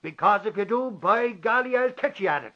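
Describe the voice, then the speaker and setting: A man's voice: sits high, lively intonation, fairly quick. An actor playing a blustering, suspicious, folksy man with an insistent manner in an old-time radio drama. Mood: warning, suspicious. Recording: muffled vintage radio recording.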